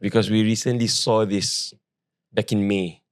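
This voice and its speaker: Hoarse man hosting football chat. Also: lowish voice, fairly even intonation, normal speed, no room sound, studio quality. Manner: chatty, interjects often. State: hoarse, lost his voice. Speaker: podcast host.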